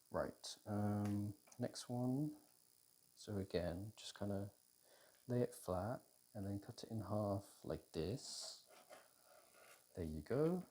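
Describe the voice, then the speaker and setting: A British man in an intimate, casual ASMR roleplay as a boyfriend cooking, talking in an unhurried, hesitant way as if thinking aloud. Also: on the low side, vocal fry, mellow, a little hiss or hum. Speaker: audio roleplay performer playing a boyfriend cooking. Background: kitchen food preparation sounds.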